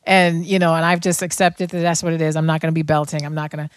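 A soft-spoken woman co-hosting an interview, a singer herself, asking eager, curious questions. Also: low voice, completely flat delivery, fast, no room sound. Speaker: co-host, also a singer. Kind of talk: casual interview chat.

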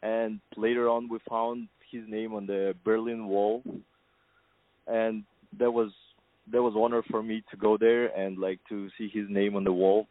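Man, from Kazakhstan, low flat voice, hesitant with fillers, phone line, dry. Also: unhurried, no echo. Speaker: film producer. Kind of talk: phone interview. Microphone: telephone.

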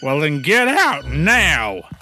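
Lady playing a little animal in a kids story, expressive and cartoony, takes her time, voice all over the place. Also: deep voice, slow pace, faint music behind, exaggerated voice. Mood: bold, challenging. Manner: exaggerated, expressive cartoonish delivery. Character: forest animals: hesitant fox, rhino, brave cheeky little frog. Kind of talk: children's storybook character acting.

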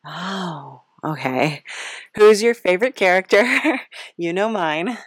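A woman with a slightly low voice hosts a playful interview podcast. She talks casually and hesitantly, wandering through her questions with a giggly edge. The sound is dry, with very little room. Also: animated, slow, dry recording.

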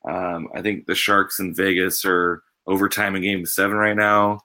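A man who teaches, co-hosting a casual podcast in an easygoing, halting way. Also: low-pitched, flat delivery, pushed and bright.

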